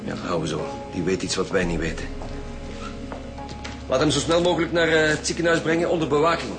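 An actor playing a gruff, commanding chief detective in a radio drama, with a Flemish accent and a curt, authoritative way of talking. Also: male voice, a little high, animated, fairly quick, over music, natural voice. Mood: grim, brisk.

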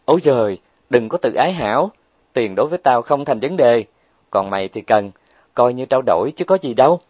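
A man voicing a brash, boastful young woman who is rich and bossy, talking loudly and bragging. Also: medium pitch, lively intonation, fairly quick, dry recording, lightly acted.

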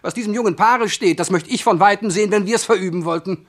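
An actor playing a grumpy, mocking middle-aged servant husband in comic classical verse, blustering and sarcastic. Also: male voice, high-pitched, quick pace, close to an everyday voice. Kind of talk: comic classical verse radio drama acting.

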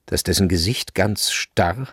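A man's voice: deep voice, a little slow, a bit bright, slightly rough, no echo, studio quality. A man narrating a literary radio play from a script. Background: faint music.

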